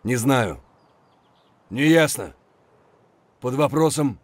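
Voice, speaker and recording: An actor playing a gruff, foul-mouthed Russian soldier with a husky voice, speaking in terse phrases for a military shooter game. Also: male voice, mid-range voice, lively intonation, takes it slowly, no echo. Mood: terse, businesslike.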